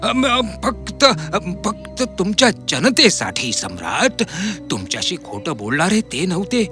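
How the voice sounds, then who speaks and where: Scheming, high-pitched palace courtier. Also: male voice, wildly swooping pitch, measured pace, sharp tone, soft background music, exaggerated voice. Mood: fawning, wheedling. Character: scheming, fawning male palace courtier greedy for power. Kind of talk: animated fairy tale character acting.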